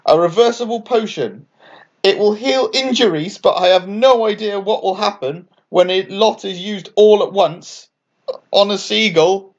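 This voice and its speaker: A man's voice: sits high, a little slow, dull audio, pitched above the performer's own voice. A man voicing a young, obliging, timid female witch in a children's bedtime story, hesitant and polite. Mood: nervous.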